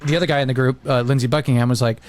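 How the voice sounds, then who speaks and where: A man's voice: medium pitch, steady tone, very fast, darkish tone. A man chatting casually as a podcast host, telling stories and acting out quotes as he goes.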